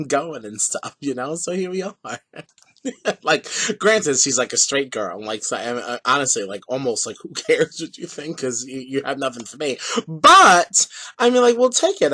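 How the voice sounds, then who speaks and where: A man's voice: on the high side, sharp tone, slightly rough. Sassy giggly man with a cold. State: has a cold. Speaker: vlog host. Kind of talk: casual chatty vlog.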